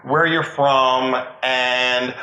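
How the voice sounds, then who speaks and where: A man's voice: medium pitch, fairly even intonation, very slow, bright, forceful tone, a little hiss or hum. Earnest male host in a roomy space. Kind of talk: scripted intro, then moderating a panel. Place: panel discussion setting. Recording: roomy echo.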